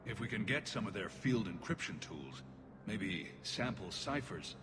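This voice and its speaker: A man's voice: on the low side, very animated, measured pace, loud music behind the voice. An actor playing a diligent male security officer in a video game, recorded with long reverb. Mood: concerned. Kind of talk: video game cutscene dialogue.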